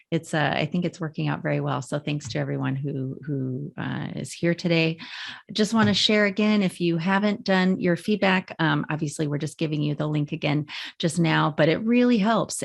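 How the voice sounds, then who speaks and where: A woman's voice: low voice, creaky. A woman hosting a webinar over a video call, giving chatty closing remarks full of fillers. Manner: warm-hearted, chatty, many fillers. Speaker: webinar host.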